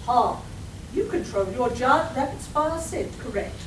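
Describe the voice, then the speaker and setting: A noisy, echoey live recording of a woman as a bold radio-play heroine. The voice is high and very animated, full of melodramatic boasting. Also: measured pace. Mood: triumphant. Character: triumphant, boastful young heroine adventurer. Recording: echoey, noisy room from far off.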